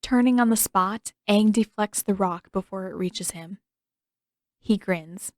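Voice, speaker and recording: A woman reading story narration in a measured, expressive storytelling manner. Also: medium pitch, no room sound, clean studio sound. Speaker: fan fiction narrator.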